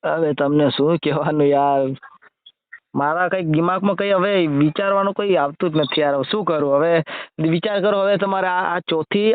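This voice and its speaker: Male, Gujarati accent, notably high voice, casual and repetitive questioning, dull dry phone-call recording. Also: no echo. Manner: colloquial, repeats himself, questioning. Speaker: amateur video creator on a phone call.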